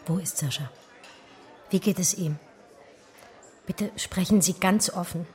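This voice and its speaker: An actress in a radio drama playing an emotional, wistful art historian, with a breathy, husky voice that often sinks toward a whisper. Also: female voice, lowish voice, measured pace, darkish tone, a faint crowd, close to an everyday voice. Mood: anguished, pleading. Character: distraught art historian recalling a lost lover, wistful and urgent. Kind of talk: radio drama dialogue and intimate first-person narration.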